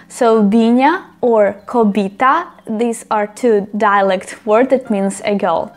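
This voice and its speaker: Slow Ukrainian-accented woman teaching words. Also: a little high. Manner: slow, careful, repeats words for learners. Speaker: language teacher. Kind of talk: online language lesson. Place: small room indoors. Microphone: small clip-on mic on her top.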